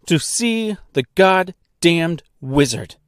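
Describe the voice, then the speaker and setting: A man acts out a defiant young woman on a quest in a storybook. The delivery is assertive and emphatic, swinging widely in pitch, deliberate in pace and recorded very dry. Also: lively intonation, darkish tone, no room sound.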